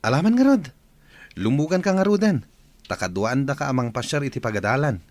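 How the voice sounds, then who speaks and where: Man acting as self-assured engineer, lively intonation. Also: medium pitch, brisk, no room sound, close to an everyday voice. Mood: friendly, confident. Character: self-assured visiting engineer, courteous boss offering work. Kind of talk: Ilocano radio drama acting.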